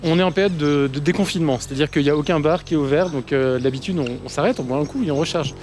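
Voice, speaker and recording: A man's voice: fairly high pitch, quick pace, a little mellow, soft background music. A young man chatting casually to an action camera outdoors as a trip vlogger. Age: young adult. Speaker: vlogger on an electric unicycle trip. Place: outdoors, village streets and forest trails. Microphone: action camera at arm's length.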